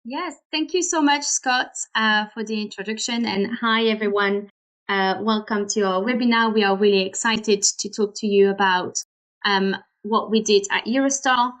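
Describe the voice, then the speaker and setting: A woman's voice: mid-range voice, moderate pace, a bit bright. A Belgian woman with a French accent, a native French speaker, explaining her work carefully as a guest on a webinar call. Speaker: webinar guest, optimization lead.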